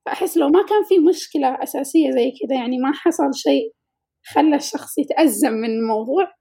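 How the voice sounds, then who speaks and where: A woman's voice: high voice, fast, creaky, dark tone. A woman co-hosting a casual podcast, with a childlike-sounding voice and a thoughtful, reflective way of talking. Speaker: podcast co-host.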